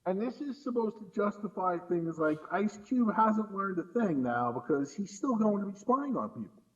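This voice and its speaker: Emphatic, higher-pitched man, slightly dull audio. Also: big pitch swings, normal speed. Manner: incredulous, emphatic, repeats words for emphasis. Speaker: podcast guest and film commentator. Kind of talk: casual film discussion.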